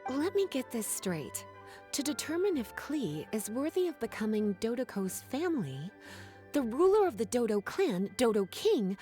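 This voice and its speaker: Coy, high-voiced, melodic librarian. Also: female voice. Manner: coy, measured, articulate. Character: poised, teasing, affectionate young woman librarian. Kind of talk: anime-style game voice acting. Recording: loud background music.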